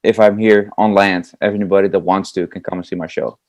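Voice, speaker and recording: A man's voice: deep voice, fairly even intonation, brisk, dry recording. A young Dutch man with a Dutch accent, a juggler answering interview questions in an eager, chatty way with many fillers. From Netherlands. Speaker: guest, comedy juggler.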